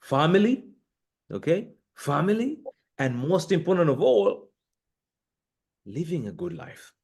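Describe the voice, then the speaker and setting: A man's voice: fairly high pitch, big pitch swings, a little slow, darkish tone, husky. A man from northern Uganda, an executive guest on an interview podcast, talking earnestly and emphatically about purpose, with frequent fillers. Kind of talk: reflective conversational interview. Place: room with books behind him.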